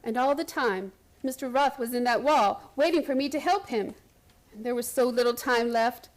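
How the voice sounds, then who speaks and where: A woman's voice: on the high side, normal speed, close to an everyday voice. An actress playing an obsessed, frantic woman hospital patient who tells her strange story in a radio play, intense and theatrical. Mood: haunted, insistent. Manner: intense, haunted, urgent, theatrical. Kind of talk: radio play acting, first-person dramatic narration.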